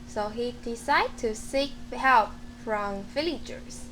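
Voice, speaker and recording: Slow, high-voiced young woman narrating. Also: a little hiss or hum. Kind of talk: storybook narration for a puppet show. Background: loud tinkling glockenspiel music.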